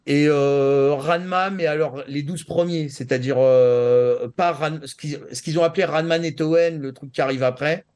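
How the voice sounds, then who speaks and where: A man's voice: on the high side, fairly even intonation, moderate pace, hardly any pauses, darkish tone, smooth. A man chatting casually and giving his opinions as a panelist, rambling and often restarting his sentences. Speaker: panelist on an anime show. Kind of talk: casual chat giving opinions on manga and anime.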